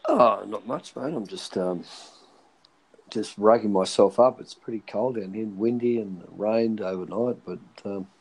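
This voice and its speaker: A fairly low-pitched Australian man talks casually, his voice hoarse and phlegmy from a sore throat. He is laid-back and hesitant, with plenty of ums and restarts. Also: measured pace, rough recording. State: hoarse, partly lost voice. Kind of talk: casual chat.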